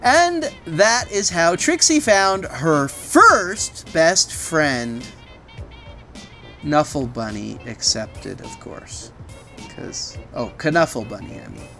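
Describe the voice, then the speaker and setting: Read-aloud narrator: slow, lively intonation, expressive picture-book reading, simple asides for young children. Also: medium pitch, soft background music.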